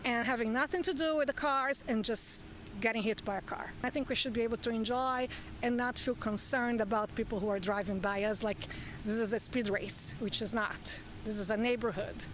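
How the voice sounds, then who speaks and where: Local lady in a street interview, talking off the cuff, highish voice, little bit of outdoor noise. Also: steady tone, normal speed, low-quality recording. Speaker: resident interviewee. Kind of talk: unscripted news interview.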